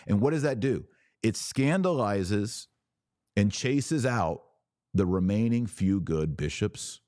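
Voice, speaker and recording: Low-voiced man, emphatic podcast commentator. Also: darkish tone, no room sound, studio quality. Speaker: podcast host. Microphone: close studio microphone.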